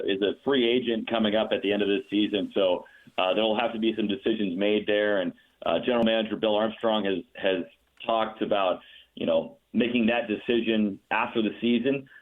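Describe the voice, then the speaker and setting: A man speaking over a tinny phone line, his voice a little low. He talks in a chatty, fact-heavy way, often restarting his sentences, as a guest on a radio show. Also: brisk. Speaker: radio play-by-play announcer, guest. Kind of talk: casual radio interview.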